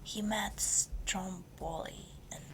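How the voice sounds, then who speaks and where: A woman reading a fairytale as ASMR in a breathy whisper, slowly and deliberately. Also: mid-range voice, fairly even intonation, rough recording. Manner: slow, deliberate storytelling delivery. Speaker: ASMR creator and story reader.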